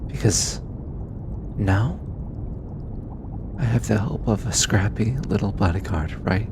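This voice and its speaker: Casting: male actor as kind, playful alien researcher for intimate audio roleplay; low-pitched, unhurried, eager, teasing; rumbly noise behind. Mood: reassuring, playful.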